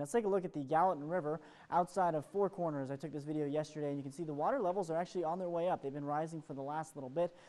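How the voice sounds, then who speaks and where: A man's voice: a little high, mellow. An American man presenting an ad-libbed television weather forecast in an upbeat, chatty way.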